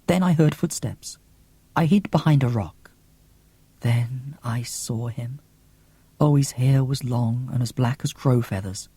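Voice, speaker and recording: A man's voice: mid-range voice, unhurried, warm tone, slightly rough, dry recording, voice pushed down. A man voicing a romantic young woman reading out as a diarist in an audiobook, breathless, tender and wide-eyed. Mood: wistful. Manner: wide-eyed, gasping, earnest storytelling.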